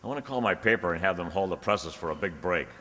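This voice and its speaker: A man playing a confident, urgent young newspaper reporter in old-time radio style, brisk and insistent. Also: deep voice, animated, fairly bright tone, in a large hall. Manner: brisk, insistent, clipped commands.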